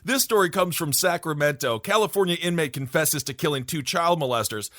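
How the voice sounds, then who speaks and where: A man's voice: fairly high pitch, fairly quick, a bit bright, no echo, clean studio sound. A man with a Midwestern accent co-hosting a podcast, telling news stories in a jokey, rambling way. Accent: Midwestern American. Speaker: podcast co-host. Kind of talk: casual comedic chat.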